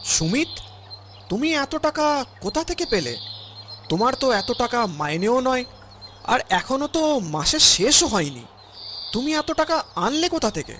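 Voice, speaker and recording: A man's voice: extremely high pitch, sing-song, a little slow, pauses often, sharp tone, a little hiss or hum, heavily acted. A man voicing a principled older father in a cartoon, earnest and preachy as he admonishes. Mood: disappointed, scolding. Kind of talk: cartoon voice acting.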